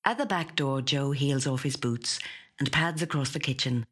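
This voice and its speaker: Story narrator, mid-pitched and husky, for measured, hushed literary prose. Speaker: actor reading a short story.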